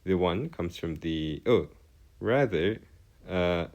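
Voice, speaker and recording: Male tutor, low register, very varied intonation, formal and halting, explaining as he works. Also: expressive, darkish tone. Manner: formal wording, halting, self-correcting. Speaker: math tutor. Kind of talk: improvised explanatory lesson at a digital whiteboard.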